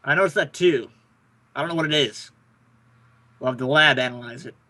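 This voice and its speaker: A man's voice: mid-range voice, fairly quick, sharp tone, natural voice. A man playing an exasperated, grumbling police lieutenant, sarcastic and blustery, in an old-time radio detective drama. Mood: businesslike.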